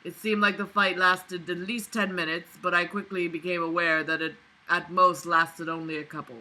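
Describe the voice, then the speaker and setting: Sweary, sharp bright-voiced woman. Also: lowish voice, level tone, normal speed, poor audio. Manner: sweary, sarcastic, indignant asides. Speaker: vlogger reading and critiquing a novel. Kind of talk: book reading with exasperated running commentary.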